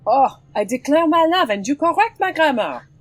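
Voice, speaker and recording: A daring, teasing heroine of an adventure audio drama. The voice swings theatrically up and down, playful and proud. Light music plays underneath. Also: female voice, high-pitched, very animated, normal speed, dry recording. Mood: playful, affectionate. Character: bold, teasing, sword-wielding French swashbuckling heroine.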